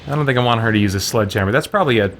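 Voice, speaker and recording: A man's voice: medium pitch, rapid-fire, a bit bright, a little hiss or hum. A man hosting a gaming video, giving casual let's play commentary and thinking aloud as he goes. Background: game music.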